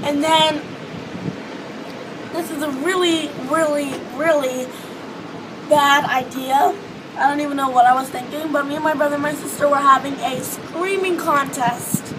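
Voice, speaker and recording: A teenage girl chatting casually in a vlog on a hotel balcony above the beach, hoarse from losing her voice, rambling with lots of fillers. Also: high-pitched, steady tone, a little slow. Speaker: vlogger. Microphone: phone or webcam close in front. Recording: wind and wave noise outdoors. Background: ocean waves and beach noise.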